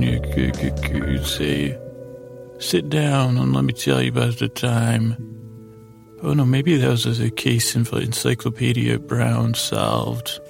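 A man hosting a sleep podcast, giving a meandering, halting bedtime monologue in a creaky, gravelly voice, stumbling over his words. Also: mid-range voice. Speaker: podcast host. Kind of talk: rambling bedtime monologue to help listeners sleep.

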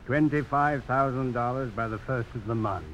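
A man acts out an unbending judge who runs a bank. His speech is formal and measured, in a middle register. It sounds like a vintage radio play with faint hiss. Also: mid-range voice, a bit bright, lightly acted, low-quality recording. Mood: coolly firm. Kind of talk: 1950s radio drama acting. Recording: hissy old radio broadcast.

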